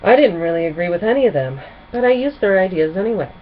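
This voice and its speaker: A woman voicing a gentle, reassuring pony princess who mentors others in a storybook. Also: mid-range voice, animated, fast talker, phone-quality sound, a little hiss or hum, close to an everyday voice. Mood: reminiscing.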